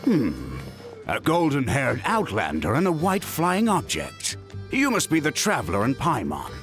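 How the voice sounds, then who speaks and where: An actor playing a stern but caring middle-aged father, world-weary and emphatic, with a gravelly voice, in a video game. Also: mid-range voice, huge pitch swings, measured pace, fairly bright tone. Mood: stern, exasperated. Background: background music.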